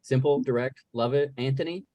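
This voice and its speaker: A man's voice: mid-range voice, a little fast, warm tone, no room sound. A man moderating a casual Q&A as a podcast host on a video call.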